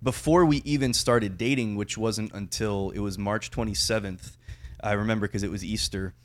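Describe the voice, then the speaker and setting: A man in his mid-thirties hosting a casual chat, talking in a relaxed, rambling way. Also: on the low side, moderate pace. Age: 30s. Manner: laid-back, rambling, laughs easily.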